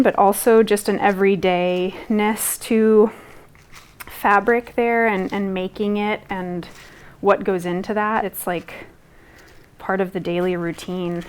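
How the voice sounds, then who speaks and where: Young woman artist, low voice, rambling. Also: a little mellow. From Guatemala. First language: Spanish. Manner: rambling, many fillers and restarts. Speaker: textile artist. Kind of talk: casual interview talk.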